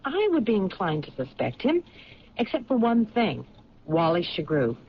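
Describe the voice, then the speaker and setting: An actress playing a thoughtful, intuitive police lieutenant who questions in a measured way in a 1970s radio drama. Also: female voice, mid-range voice, huge pitch swings. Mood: pondering. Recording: dull lo-fi radio broadcast with faint hiss.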